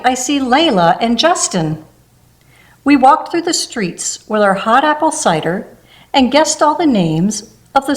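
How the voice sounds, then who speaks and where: Slow, gentle woman reading a storybook. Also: fairly high pitch. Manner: slow, gentle rhyming delivery with small spoken asides. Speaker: storybook reader. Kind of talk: reading a rhyming picture book aloud.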